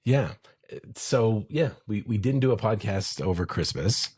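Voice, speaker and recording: A middle-aged man co-hosting a podcast in casual chat, hoarse from a chest infection, relaxed and rambling. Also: fairly low pitch, measured pace. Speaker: podcast co-host.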